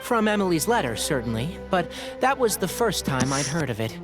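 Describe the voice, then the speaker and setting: A man's voice: a little high, very animated, moderate pace, music in the background. A man playing a clever, considerate young scholar in a video game, articulate and considered in his delivery. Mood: thoughtful.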